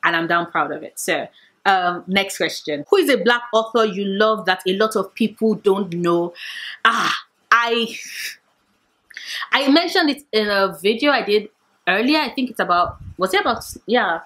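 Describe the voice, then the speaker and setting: A woman's voice: medium pitch, expressive, normal speed, a bit bright. A talkative, playful woman in her late twenties chatting to camera about books. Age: 20s. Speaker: book vlogger. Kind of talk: casual chat to camera.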